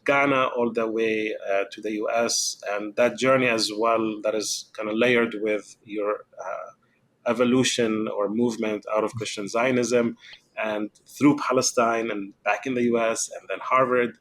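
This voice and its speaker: A man hosting a podcast interview who talks in a halting way, with many fillers. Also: on the low side, fairly even intonation, a bit bright, rough recording. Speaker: podcast host.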